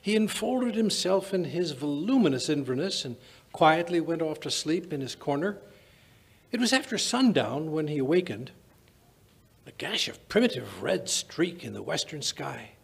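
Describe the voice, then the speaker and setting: An older man acts out a gentleman doctor of old-time radio mystery. The voice is raspy and creaky, pitched fairly high for a man. He is blustering and puzzled, given to stammering as he recounts things. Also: full character voice. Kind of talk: old-time radio drama acting, scripted. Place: small indoor stage. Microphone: stand microphone.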